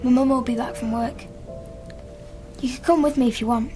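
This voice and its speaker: A woman's voice: high-pitched, steady tone, moderate pace, mellow, soft background music, close to an everyday voice. An actress playing an earnest, hopeful young schoolgirl in a naturalistic radio drama. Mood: guarded.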